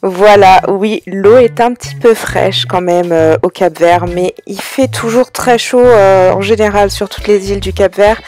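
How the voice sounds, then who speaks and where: A woman from France narrating a travel vlog in a relaxed, chatty way, with frequent hesitations. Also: medium pitch, unhurried, few pauses, faint music behind. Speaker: travel vlogger.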